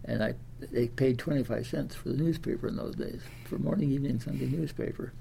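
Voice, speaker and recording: A man's voice: medium pitch, brisk, gravelly, mellow, a little hiss or hum. A man of ninety from Iowa, telling his life story in an oral history interview, rambling with many fillers and restarts. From Des Moines, Iowa. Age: 90s.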